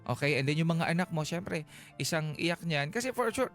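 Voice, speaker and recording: A man's voice: a little high, animated, normal speed, a bit bright. A man with a Filipino accent co-hosting a radio advice show, talking casually in emphatic, playful Taglish with frequent rhetorical tags, in a studio. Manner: emphatic, playful, Taglish code-switching, rhetorical tag questions. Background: background music.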